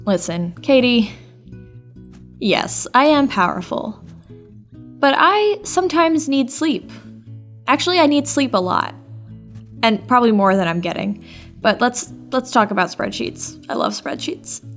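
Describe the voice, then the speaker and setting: A woman's voice: fairly high pitch, big pitch swings, a little slow, pauses often, soft background music. A woman co-hosting a podcast in a conversational way, candid and self-deprecating with playful drama. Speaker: podcast co-host and production organizer.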